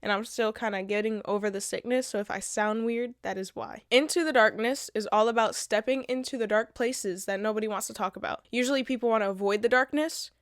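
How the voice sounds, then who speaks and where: A woman's voice: fairly high pitch, brisk, hardly any pauses, no echo, studio quality. A woman, congested from a recent illness, hosting her own commentary video and reading from notes in a blunt, earnest way. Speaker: channel host.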